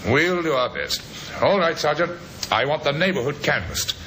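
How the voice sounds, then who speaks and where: Bright-voiced, brisk police inspector man. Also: a little high, animated, slight background noise, rough recording. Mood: businesslike. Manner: businesslike, clipped questioning. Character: brisk, authoritative male Scotland Yard inspector. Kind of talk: 1950s radio drama acting.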